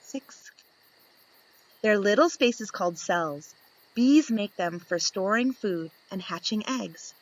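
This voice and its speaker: A woman reading a picture book aloud to young children, expressive and instructive, putting questions to her listeners and answering them. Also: medium pitch, slow pace, low-quality recording.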